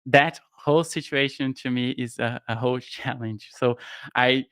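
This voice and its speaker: A man's voice: mid-range voice, fairly even intonation, normal speed, fairly bright tone, clear, resonant tone, no room sound. A young Brazilian man with a Brazilian Portuguese accent, casually talking through a no-code tutorial on a live stream, thinking aloud with plenty of fillers and restarts. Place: home studio room with shelves. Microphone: studio mic on boom arm, headphones.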